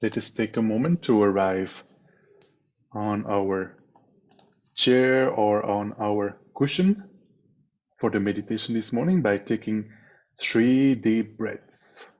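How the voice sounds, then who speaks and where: A man leading a guided meditation as a teacher, speaking in a calm, measured way with long pauses between phrases. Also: fairly low pitch. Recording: dull, muffled online meeting audio.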